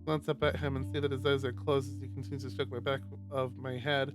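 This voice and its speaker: A man's voice: mid-range voice, flat delivery, fast talker. A man streaming a visual novel, reading first-person fiction aloud. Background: guitar music.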